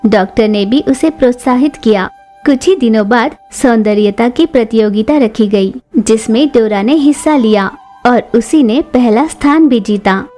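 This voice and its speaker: Casting: woman storyteller for kids' cartoons, fairly high voice with a warm, dark-edged softness. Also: moderate pace, soft background music. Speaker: narrator. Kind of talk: scripted children's story narration.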